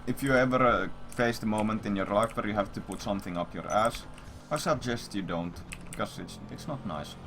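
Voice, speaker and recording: A man's voice: lowish voice, fairly quick, few pauses, a bit bright, a little hiss or hum. A man livestreaming, telling a story in casual, rambling chat with blunt, repetitive, profanity-heavy talk.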